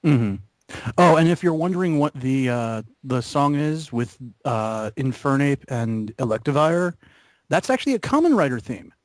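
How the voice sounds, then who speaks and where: A man chatting casually and ramblingly with friends in a geeky, halting way. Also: medium pitch, sing-song, no echo. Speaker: member of a gaming commentary group. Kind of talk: rambling casual group chat.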